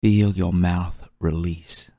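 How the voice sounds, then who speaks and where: A man guiding a meditation in a soft, breathy, soothing voice, gently and unhurriedly, with long pauses. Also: low-pitched, steady tone, no echo, clean studio sound. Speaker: meditation guide. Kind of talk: guided meditation narration.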